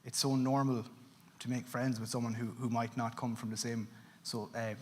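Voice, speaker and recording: A young Irish man speaking on a panel, earnest and halting, often repeating words. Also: mid-range voice, little pitch movement. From Ireland. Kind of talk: panel discussion. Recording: reverberant hall, faint ambient noise.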